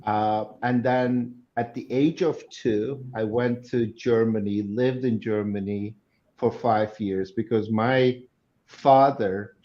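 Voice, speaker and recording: A man in his sixties from Turkey, a guest telling his story slowly and in measured phrases on a video call. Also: lowish voice, fairly even intonation, warm tone, muffled recording. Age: 60s. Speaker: guest speaker. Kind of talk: online interview.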